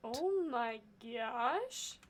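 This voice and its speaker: A woman game streamer giving casual reaction commentary in an expressive, informal, giggly way. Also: on the high side, extremely slow.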